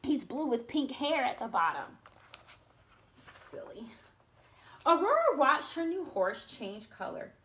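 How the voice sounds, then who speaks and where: A woman reading a storybook aloud to children, expressive, with chatty asides spoken straight to her young listeners. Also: high-pitched, takes it slowly, pauses often, roomy sound.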